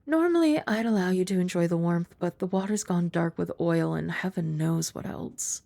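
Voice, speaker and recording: A young woman voicing a composed young maid who is also a childhood friend. She uses formal, polite speech with gentle, dry teasing, is soft-spoken, and sometimes drops to a whisper. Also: lowish voice, moderate pace, creaky. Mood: calm. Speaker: ASMR roleplay performer. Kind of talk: ASMR roleplay.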